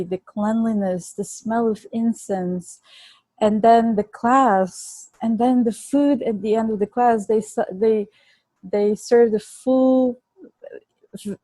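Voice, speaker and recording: Bulgarian accent lady, warm low-key tone, dry close sound, chatty and earnest. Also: no echo, low-quality recording. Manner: earnest, laughs easily, restarts words. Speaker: guest. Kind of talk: conversational storytelling.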